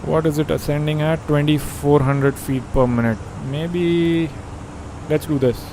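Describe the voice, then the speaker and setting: A man's voice: fairly high pitch, fairly even intonation, unhurried, noisy background. A young man from India with an Indian accent, chatting casually and joking with viewers while thinking aloud during a live stream.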